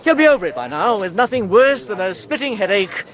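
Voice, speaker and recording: An actor playing a smooth-talking, pedantic crook in a radio play. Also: male voice, high voice, sing-song, fairly quick, a crowd in the background. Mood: smug, untroubled. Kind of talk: British radio play acting.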